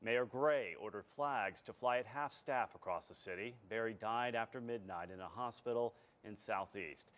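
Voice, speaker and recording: A man's voice: on the low side, moderate pace, few pauses, fairly bright tone. A man working as a TV news anchor and reporter, delivering scripted news narration.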